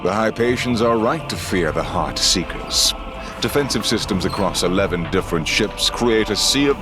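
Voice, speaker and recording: A man performing measured, solemn dramatic narration of a space battle for a sci-fi audio drama. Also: sits low, close to an everyday voice.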